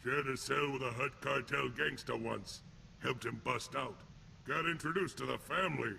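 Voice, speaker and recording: A sneering alien thug from a video game, with a heavily growling, rasping voice and a sharp, hard edge. He talks in blunt, crude street slang like a hardened enforcer fresh out of prison. Faint noise sits behind him. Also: mid-range voice, moderate pace. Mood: gruff boasting. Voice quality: gravelly, rough. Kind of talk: video game character acting.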